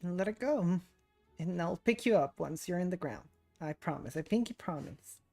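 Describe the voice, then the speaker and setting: A woman streaming a game and commenting casually. Her voice is on the low side for a woman, with offhand joking remarks. Faint game music runs underneath. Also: expressive, moderate pace, creaky, darkish tone. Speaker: VTuber streamer.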